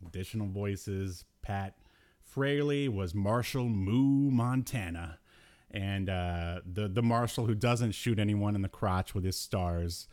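A man's voice: fairly low pitch, slow pace, darkish tone. A man hosting a podcast in casual chat, riffing jokily and with exasperation. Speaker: podcast host. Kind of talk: casual chat about old cartoons.

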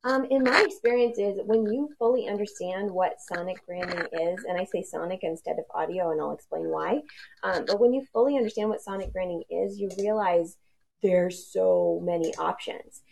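A woman speaks with a strongly gravelly, fried texture in a lowish voice. She rambles eagerly, with fillers, about her work on a video call panel. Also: moderate pace, a little mellow, a little hiss or hum, low-quality recording. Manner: enthusiastic, rambling with fillers and laughs. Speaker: sonic branding consultant.

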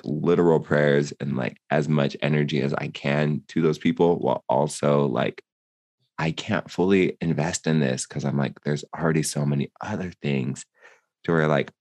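Deep-voiced man in earnest rambling chat. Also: dry recording. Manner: rambling, filler-heavy, earnest. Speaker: podcast co-host. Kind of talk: casual chat.

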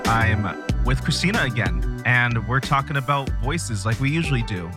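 A man's voice: mid-range voice, animated, normal speed, music in the background. A man hosting a podcast who chats in an easygoing way and asks curious, rambling questions. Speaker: podcast host. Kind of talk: casual podcast conversation.

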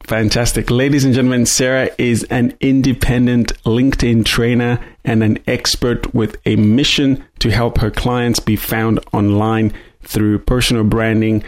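A man's voice: mid-range voice, level tone, a little slow, no echo. A man hosting a business podcast, reading sponsor copy and welcoming guests in an upbeat, effusive way. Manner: enthusiastic, effusive. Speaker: podcast host.

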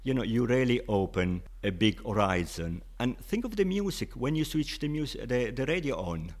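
A man's voice: mid-range voice, sing-song, moderate pace. A man with an Italian accent, a Spanish teacher, explaining patiently as an interview guest and often saying "you know".

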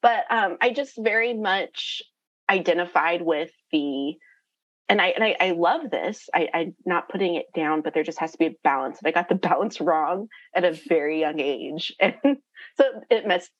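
A woman teacher speaking candidly as a podcast guest, her voice lowish. She laughs often and restarts her sentences as she thinks aloud. The recording is dry and slightly dull. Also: expressive, normal speed, dry recording. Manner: laughing, self-correcting, candid. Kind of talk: casual podcast conversation.

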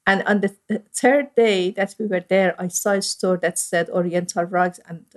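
A woman's voice: medium pitch, steady tone, normal speed. Earnest middle-aged woman with Iranian accent. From Iran. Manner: earnest, reflective, with frequent fillers. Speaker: guest, priest. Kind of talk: personal storytelling.

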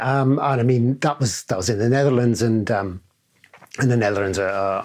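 A man's voice: lowish voice, darkish tone, slightly rough. A man with an English and Welsh accent, a guest in an interview, talking casually with many fillers and restarts. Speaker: guest, security director. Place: studio in London.

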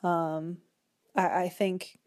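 Low-voiced woman, reflective interview guest. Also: flat delivery, extremely slow, darkish tone. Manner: reflective, hesitant, self-correcting in long sentences. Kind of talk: conversational interview, literary discussion.

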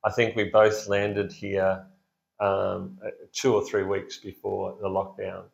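A man's voice: deep voice, monotone, takes it slowly, vocal fry. A middle-aged man from New South Wales, speaking as a pastor in a casual interview, hesitant with many fillers and restarts, laughing easily. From Blue Mountains, New South Wales, Australia. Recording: echoey, dull lo-fi room sound.

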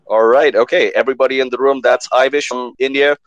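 A man hosting a live online panel show, lively and fond of repeating words for emphasis. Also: medium pitch, fast, no echo, highs cut off. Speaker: host.

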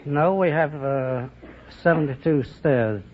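An American man answering interview questions as a contestant, earnest, matter-of-fact and a little halting. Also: on the high side, some chatter behind. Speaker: quiz show contestant, lighthouse keeper. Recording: muffled old-time radio, faint hiss.

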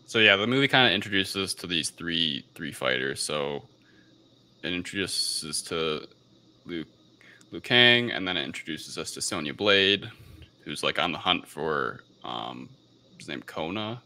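A man's voice: sits low, lively intonation, a little slow, pushed and bright. A man hosting a podcast, talking casually through a film review in a somewhat hesitant way. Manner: hesitant, unsure of pronunciations. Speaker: podcast host.

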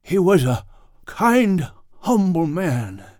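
A man voicing a gentle Bible-times character in an audiobook, speaking slowly and tenderly. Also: high-pitched, very animated, no room sound, studio quality, pitched above the performer's own voice, full character voice. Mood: weary, fond. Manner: slow, tender.

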